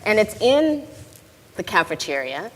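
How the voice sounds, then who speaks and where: A woman's voice: mid-range voice, unhurried. A woman moderating a conference panel, retelling a story with animation before asking her question. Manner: animated storytelling, acting out quotes. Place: conference stage, large hall. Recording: long hall reverb, faint noise.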